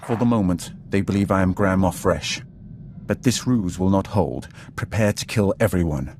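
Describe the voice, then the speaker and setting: An actor voicing a menacing male warrior in a video game, cold, deliberate and commanding with dry delivery. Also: low voice, darkish tone, a little hiss or hum. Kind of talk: dramatic video game dialogue.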